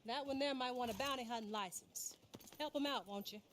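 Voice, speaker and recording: A woman's voice: a little high, a little fast, a bit bright, a little hiss or hum, close to an everyday voice. An actress playing a tough female bounty hunter in a western game, with an American accent and a blunt, folksy, sardonic drawl. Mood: wry, dramatic. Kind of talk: dramatic game cutscene acting. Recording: music under the voice.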